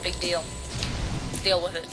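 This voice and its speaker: A sixteen-year-old boy with a high-pitched voice, giving live gameplay commentary in a hesitant, rambling way with many ums and restarts. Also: level tone, measured pace, poor audio. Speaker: let's play commentator. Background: video game music.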